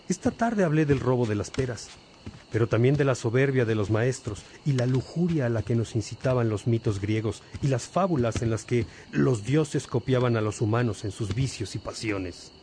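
A man playing an earnest, fatherly monk and mentor in a radio drama, speaking in a measured, formal way. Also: medium pitch, animated, dark tone, a little hiss or hum, lightly acted. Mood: earnest, reflective.

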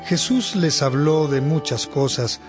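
A man's voice: fairly high pitch, expressive, measured pace, music in the background. A man acting as a solemn cleric reading scripture aloud in a radio drama.

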